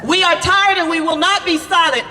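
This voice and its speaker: An older woman, a grandmother and preacher, giving a fiery protest speech outdoors, often shouting in repeated phrases. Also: extremely high pitch, steady tone, moderate pace, soft background music. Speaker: preacher speaking at a rally. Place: outdoor rally at city hall. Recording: reverberant PA sound with loud crowd.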